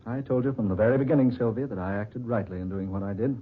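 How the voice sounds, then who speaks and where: An actor playing a suave, scheming, unhinged murderer in an old-time radio drama, theatrical and condescending. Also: male voice, fairly low pitch, steady tone, fast, husky. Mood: smooth, threatening. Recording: dull lo-fi old radio recording.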